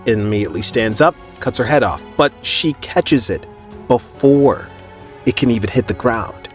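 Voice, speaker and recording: A man narrates a comic story in an informal, conversational style. His medium-pitched voice moves up and down in a lively way, with music playing softly behind him. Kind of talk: casual animated story recap, quoting characters' dialogue. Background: background music.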